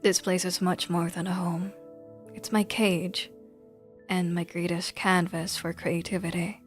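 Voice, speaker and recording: Woman reading as a soft, wistful poetic game character. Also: lowish voice, flat delivery, unhurried, soft background music, close to an everyday voice.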